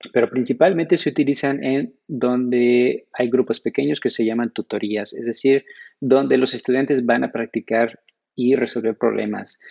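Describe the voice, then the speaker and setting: A young man from Mexico with a Mexican Spanish accent, giving a measured, teacherly slide presentation at a conference, recorded on a computer webcam in a small room. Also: mid-range voice, creaky, darkish tone, slightly rough.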